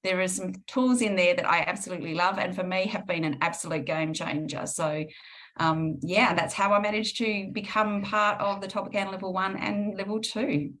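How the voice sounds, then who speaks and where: A woman's voice: medium pitch, steady tone, normal speed, fairly bright tone. A middle-aged woman with an Australian accent, answering interview questions in a reflective way with frequent ums. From Perth, Western Australia. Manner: reflective, with many ums and restarts. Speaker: guest healing practitioner.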